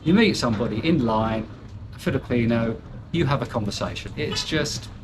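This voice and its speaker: A man's voice: mid-range voice, animated, normal speed, background noise. An older British man chatting candidly as an interview guest, rambling with fillers and restarts and poking fun at himself. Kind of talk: casual chat.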